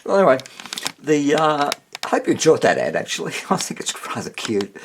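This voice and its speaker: A man's voice: a little high, huge pitch swings, fairly quick, noisy background. A man with an Australian accent chatting casually to camera about a repair, rambling and thinking aloud in an easygoing way. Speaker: host of a vintage radio repair channel.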